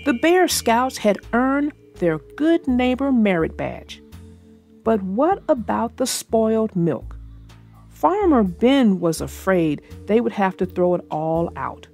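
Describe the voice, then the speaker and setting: A woman's voice: a little high, sing-song, slow pace, a little mellow, soft background music. A woman hosting a children's read-aloud, narrating a storybook and chatting casually about it.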